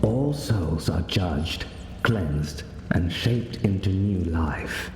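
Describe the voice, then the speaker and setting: An actor playing a calm, wry male mentor in a video game, speaking in a measured, formal, instructive way. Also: deep voice, heavily acted. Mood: solemn, instructive. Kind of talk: video game cutscene acting. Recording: echoey hall reverb, music behind.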